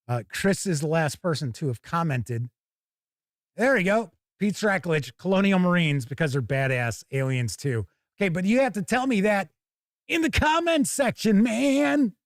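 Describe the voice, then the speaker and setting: Casual higher-pitched man hosting a livestream. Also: no echo, studio quality. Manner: informal, thinking aloud. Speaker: host of a tabletop gaming livestream. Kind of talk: casual live unboxing chat to viewers.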